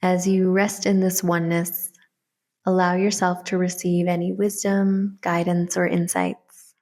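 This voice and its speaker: A woman with a slightly low voice leads a guided meditation. She gives soft, soothing instructions in a gentle manner, as a host walking listeners through each step. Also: flat delivery, measured pace.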